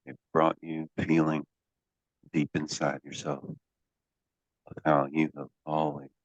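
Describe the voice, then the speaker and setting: A man working as a hypnotherapy coach, guiding a session in a deliberate, commanding way with drawn-out pauses and phrases to be repeated. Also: extremely low pitch, level tone. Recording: dull, echoey lo-fi room sound.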